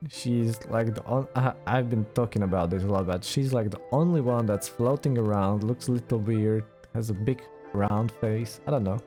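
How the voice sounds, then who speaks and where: A man giving casual let's play commentary as a gaming streamer, with relaxed, wry asides. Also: fairly low pitch, moderate pace, few pauses. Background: game music.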